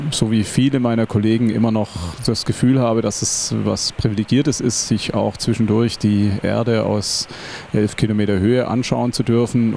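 A man in his forties, an airline captain, calmly explaining a flight in a measured, reflective voice-over. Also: lowish voice, gravelly, slight background noise. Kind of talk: calm explanatory voice-over commentary.

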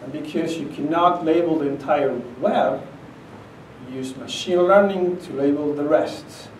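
A man's voice: on the high side, a little slow, a little mellow, slight background noise. A middle-aged man from Argentina with an Argentine accent gives an emphatic, conversational conference talk, often checking in with "okay?". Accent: Argentine Spanish. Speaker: conference speaker. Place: conference room with projector screen. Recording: echoey conference room, captured from a distance.

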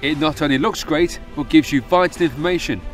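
A man narrates a product promo in a smooth, scripted voice-over. His voice sits a little high for a man, and clear music plays under him throughout. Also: fairly quick. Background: background music.

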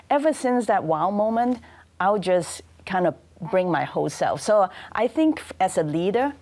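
A woman's voice: lowish voice, darkish tone. A woman in her fifties from Hong Kong, with a Hong Kong accent, speaking thoughtfully as a panelist in a studio on a lapel mic. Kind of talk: panel discussion.

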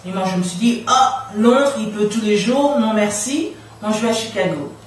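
Woman from the Congo, interview guest, animated storyteller, average pitch, strong room echo, faint background noise. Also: moderate pace, far from the mic. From Democratic Republic of the Congo. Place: small radio studio room, indoors.

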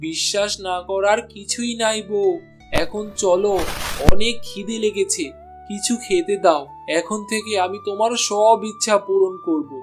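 A man's voice: high voice, steady tone, unhurried, music in the background. A man voicing an honest, struggling village meat seller in a cartoon. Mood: earnest.

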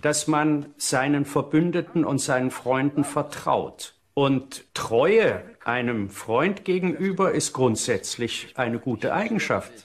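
Male history expert, medium pitch. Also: animated, slow, few pauses. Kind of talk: explanatory interview talk.

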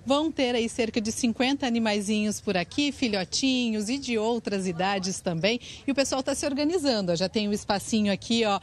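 Brazilian lady doing a live tv report outside, handheld mic, friendly and upbeat, kinda high voice. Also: brisk, hardly any pauses. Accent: Brazilian Portuguese. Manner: lively, friendly, gestures while talking. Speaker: field reporter. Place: outdoor park event under a tent.

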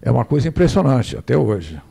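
An older Brazilian lecturer speaks from a podium microphone in an indoor hall, his voice mellow and dark. He wanders into asides and keeps asking for the next slide. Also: medium pitch, big pitch swings, a little fast, creaky, breathy edge. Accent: Brazilian Portuguese. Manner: digressive, asks for the next slide. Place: hall with projector screen, indoors.

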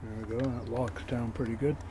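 A man giving a casual show-and-tell as a toy collector, low-key and matter-of-fact, on an amateur camera microphone. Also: steady tone, fairly quick, noisy background. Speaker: toy collector showing his finds.

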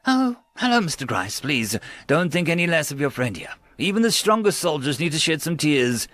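A shrewd woman prisoner , voiced by a male narrator, speaks with soothing, probing calm. The voice is lifted in pitch and lilts over a dry recording. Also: normal speed, no echo. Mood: polite, calm.

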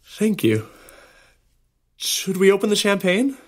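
A man's voice: high-pitched, sing-song, slow, heavily acted. Young male groom, American, tender, playful tease, naturalistic radio play. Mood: playful, caring. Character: young groom, out-of-work sous chef, tender and teasing. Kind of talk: naturalistic audio drama acting.

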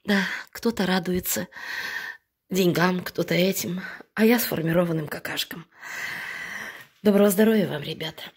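A woman's voice: lowish voice, moderate pace, long pauses, darkish tone, slightly rough. A middle-aged woman rescuing animals, speaking earnestly to viewers and tenderly to sick puppies while ill with bronchitis, filmed on a phone indoors. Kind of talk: casual appeal to viewers. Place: veterinary clinic and home room, indoors.

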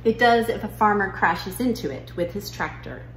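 A woman's voice: mid-range voice, moderate pace, a bit bright, lightly acted. A woman reading a children's picture book as a nonchalant young schoolgirl, matter-of-factly recounting a farm trip. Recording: roomy living room with noise.

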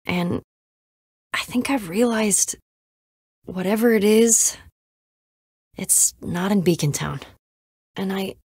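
Young adventurer girl from a video game, guarded but sensitive, halting emotional talk, very dry close sound. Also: mid-range voice, a little mellow, slightly rough, no room sound. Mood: earnest, sad. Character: guarded young woman adventurer, sensitive, fears being abandoned.

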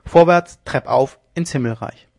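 A man voicing a swaggering, mocking young ship's lieutenant who talks loudly and jeers, in a dry close recording. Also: mid-range voice, normal speed, dry recording, close to an everyday voice. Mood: jeering. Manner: boisterous, jeering, loud.

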